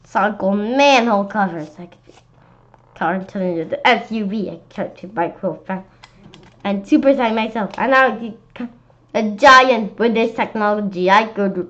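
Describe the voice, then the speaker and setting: A woman voicing a menacing, greedy monster who shouts and draws out threats in a children's storybook read-aloud. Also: mid-range voice, normal speed, muffled recording, close to an everyday voice. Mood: gloating.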